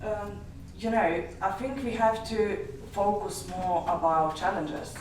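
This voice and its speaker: A woman's voice: mid-range voice, steady tone, slow pace, slight background noise. A woman with an Eastern European accent, a business advisor speaking directly on a panel in non-native English. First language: an Eastern European language. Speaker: tax strategist and business advisor. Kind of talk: panel discussion. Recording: echoey room from far off.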